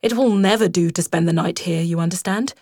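A woman voicing a brave, kindly female water rat who talks in a lively, reassuring way as a storybook character. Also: a little fast, no echo, studio quality, lightly acted. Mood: brisk. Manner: lively and reassuring, animated swoops in pitch.

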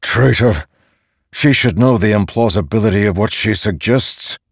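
A man's voice: on the low side, normal speed, creaky, slightly rough, dry recording, clean studio sound, pitched above the performer's own voice. A man voicing an ancient godlike storm spirit in a fantasy audiobook, stern, imperious and accusatory. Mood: stern refusal. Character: thundering, ancient, godlike storm spirit, male, domineering and disapproving. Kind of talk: dramatic fantasy audiobook character.

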